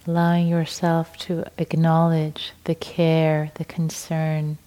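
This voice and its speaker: A middle-aged woman whose first language is Farsi guides a meditation in a low, warm, unhurried voice. She lets soft breathy phrases trail off into near-whispers, with long, gentle pauses between them. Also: completely flat delivery. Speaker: meditation teacher.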